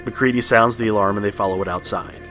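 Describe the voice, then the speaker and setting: A man with a low voice reads a scripted horror read-along story as its narrator. Music plays lightly behind him. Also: fairly even intonation, quick pace.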